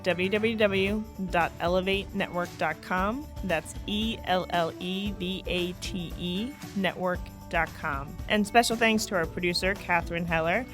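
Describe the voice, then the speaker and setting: A woman's voice: on the low side, a little slow, few pauses, over music. A woman voiceover artist reading a scripted podcast outro in a friendly, polished way. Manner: friendly, polished promo read. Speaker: voiceover announcer.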